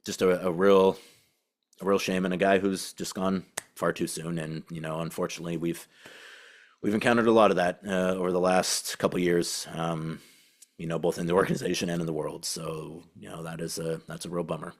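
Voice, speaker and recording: Low-voiced man, halting podcast host. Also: steady tone. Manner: halting, thinking aloud. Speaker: podcast host and team beat reporter. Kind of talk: casual spoken tribute to a late colleague.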